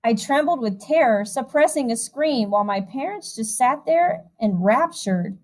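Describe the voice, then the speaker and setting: A woman music teacher reading a picture book aloud to young children in an expressive, animated way, with chatty asides. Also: on the high side, normal speed.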